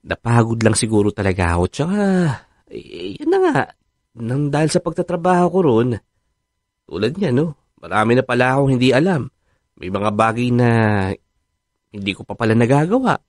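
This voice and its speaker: An actor with a Filipino accent playing a defensive, easily rattled husband in a radio drama, conversational and expressive. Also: male voice, medium pitch, normal speed, long pauses, dry recording, clean studio sound. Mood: playful, reassuring.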